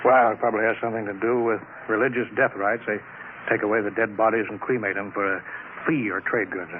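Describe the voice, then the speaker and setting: A man's voice: lowish voice, steady tone, fast talker, narrow, tinny audio, natural voice. A man playing a bossy colonial bureau chief. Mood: brusque. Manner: brusque and commanding. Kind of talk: 1950s radio drama acting. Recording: scratchy old radio broadcast.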